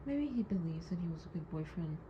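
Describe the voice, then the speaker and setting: A woman's voice: on the low side, animated, fast talker. A young woman recording a personal audio diary, rambling and hesitant with many "like"s, hurt and wry as she holds back tears. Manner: rambling, hesitant, heavy use of "like". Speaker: audio diarist. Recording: dull lo-fi recording with clear background noise.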